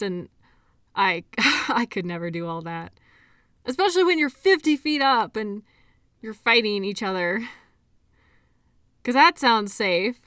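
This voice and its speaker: A woman's voice: fairly high pitch, a bit bright, clean tone, no echo, studio quality. A woman playing a shy, awkward, kindly medical worker in an audio roleplay, talking in a hesitant, rambling, apologetic way. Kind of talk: audio roleplay acting, addressing the listener.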